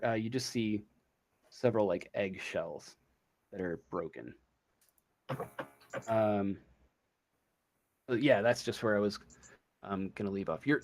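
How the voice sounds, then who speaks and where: A man speaking as game master in casual tabletop game talk, halting and searching for words as he goes. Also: medium pitch.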